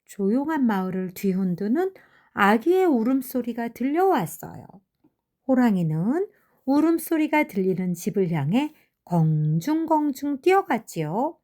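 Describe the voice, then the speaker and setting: A woman narrating children's fairy tales in an expressive, measured storybook manner. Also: on the high side. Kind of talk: animated fairy tale narration.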